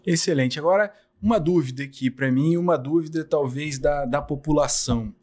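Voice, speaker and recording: A man with a fairly high voice hosts a podcast interview. He asks polite, formal questions and stammers a little as he gets them started. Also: moderate pace, darkish tone, a little hiss or hum. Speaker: podcast host.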